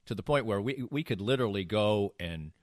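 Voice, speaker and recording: Deep-voiced older man, rambling storyteller. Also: lively intonation, fairly quick, dry recording, studio quality. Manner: rambling storyteller, restarts sentences mid-thought. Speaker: podcast host. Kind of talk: casual banter and anecdotes.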